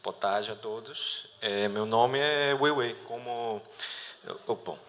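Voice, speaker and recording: A man's voice: mid-range voice, slow, background noise. A man from China, a company executive giving a hesitant conference talk with many restarts, his accent carrying a bit of Rio lilt. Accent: Chinese, with a picked-up Rio de Janeiro lilt. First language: Chinese. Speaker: company executive, panel speaker. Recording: long hall reverb.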